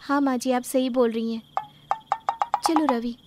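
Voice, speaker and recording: A woman's voice: sits high, fairly even intonation, unhurried, over loud music. A woman voicing a young daughter-in-law in a moral story, meek, sweet, polite and eager to please. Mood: dutiful. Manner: pleading, soft-spoken politeness. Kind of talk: acted Hindi moral-story dialogue.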